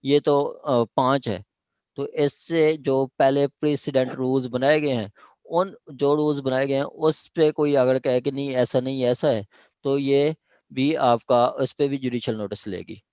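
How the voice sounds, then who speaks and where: Male law lecturer, Pakistani accent, mid-range pitch, explanatory and repetitive, English terms mixed in, very little room sound. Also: fairly even intonation, a little slow, a little mellow, smooth, no room sound, highs cut off. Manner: explanatory, repeats points, mixes in English legal terms. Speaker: law teacher. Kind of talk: informal lecture explaining statute clauses to a class.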